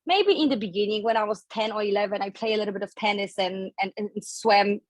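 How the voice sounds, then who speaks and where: A woman's voice: mid-range voice, quick pace, no echo. A woman in her thirties from Poland with a Polish accent, a fitness coach telling her story as a podcast guest in a chatty, candid way. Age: 30s. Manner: chatty, candid, laughs between phrases.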